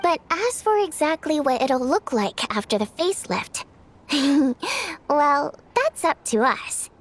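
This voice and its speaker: A woman's voice: high voice, very animated, takes it slowly, heavily acted. A woman playing a capable, matter-of-fact young station manager in a video game, composed and assured. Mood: composed, determined. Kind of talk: animated video game voice acting. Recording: faint music behind the voice.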